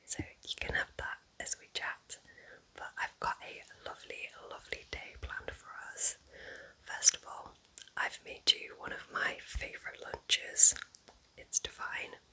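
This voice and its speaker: A woman playing a kind, cosy forest witch in a whispered ASMR roleplay, breathy, gentle and chatty. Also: a little slow, poor audio. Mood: gentle, welcoming. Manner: gentle, soothing, chatty, affectionate.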